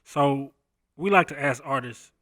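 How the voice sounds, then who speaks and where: A man's voice: mid-range voice, measured pace, fairly bright tone, no room sound, studio quality. An American man interviewing a guest in an informal, slangy way. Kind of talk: casual interview hosting.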